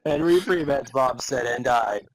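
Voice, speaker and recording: A man with a mid-pitched, somewhat husky voice co-hosts a comedy podcast. He reads and chats in an amused, casual way, as if barely keeping a straight face. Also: unhurried, no echo. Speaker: podcast co-host. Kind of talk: dramatic reading of fan fiction and casual banter.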